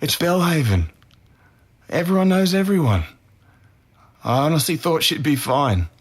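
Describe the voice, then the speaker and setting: A man's voice: on the high side, sing-song, measured pace, husky, exaggerated voice. A man acting as a defensive Australian murder suspect in his twenties, speaking earnestly in blunt slang in an interview-style audio drama. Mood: regretful, defensive. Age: 20s.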